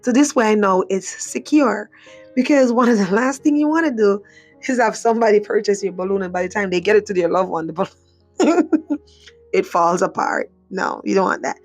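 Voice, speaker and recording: Hoarse chatty woman crafting tutorial. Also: medium pitch, lively intonation, moderate pace, faint music behind. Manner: chatty, repeats herself, frequent "so" and "again". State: hoarse, voice mostly gone. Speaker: craft channel host. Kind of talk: casual tutorial voice-over.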